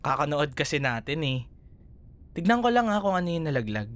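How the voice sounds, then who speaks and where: A man's voice: fairly high pitch, sing-song, fairly quick, a bit bright. A man with a Filipino accent playing an affectionate, playful young boyfriend comforting a scared partner in an intimate ASMR roleplay. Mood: concerned, reassuring. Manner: teasing, tender, chuckles often. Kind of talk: ASMR roleplay, intimate one-sided dialogue.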